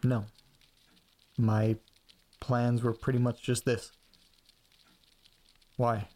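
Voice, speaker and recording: A man's voice: mid-range voice, level tone, extremely slow, mellow. Awkward, eager everyman played by a male actor, mid-range voice, casual and naturalistic audio drama style. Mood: curious. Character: awkward, eager, goofy man with odd enthusiasms.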